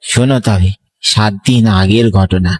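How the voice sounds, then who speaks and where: A man's voice: on the low side, a little slow, no echo, close to an everyday voice. A man playing an earnest young student, the wronged younger brother, in a horror audio story. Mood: sorrowful.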